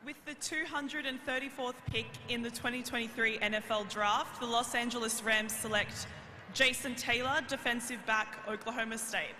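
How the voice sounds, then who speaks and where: A woman's voice: a little high, fairly even intonation, normal speed. A woman making a formal stage announcement of a draft pick. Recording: echoey hall with clear background noise.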